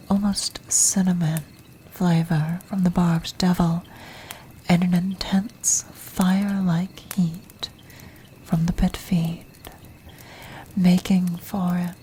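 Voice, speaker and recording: A woman voicing an ancient, commanding vampire matriarch in a whispered ASMR fantasy roleplay, refined, breathy and formal. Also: on the low side, mellow, slight background noise, poor audio. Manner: formal, measured, aristocratic.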